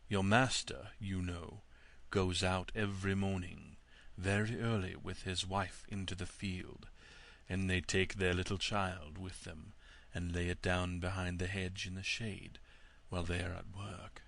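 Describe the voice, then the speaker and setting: A man voicing a sly storybook wolf who gives scheming advice in a slow, confiding drawl. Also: sits low, flat delivery, vocal fry, a bit bright, exaggerated voice. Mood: sly, reassuring.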